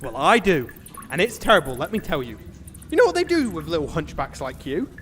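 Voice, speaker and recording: Man acting pompous, wildly expressive comedy knight. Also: fairly high pitch, a little fast, soft background music. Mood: blustering. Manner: grandiose, indignant, mock-heroic. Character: pompous, blustering gentleman knight, foolhardy and self-important. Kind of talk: theatrical comedy sketch acting.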